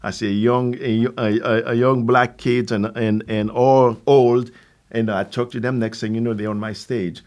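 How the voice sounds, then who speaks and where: A man in his sixties with a Haitian accent, answering interview questions conversationally in a halting way with repeated words and asides. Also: medium pitch, steady tone, darkish tone. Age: 60s. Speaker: theater company founder, guest.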